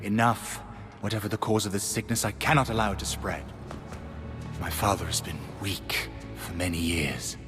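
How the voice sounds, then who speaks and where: An actor playing a grim, resolute new king in a game, commanding and deliberate in a grandiose way. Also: lowish voice, steady tone, big-room sound, music in the background, lightly acted. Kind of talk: dramatic video game cutscene acting.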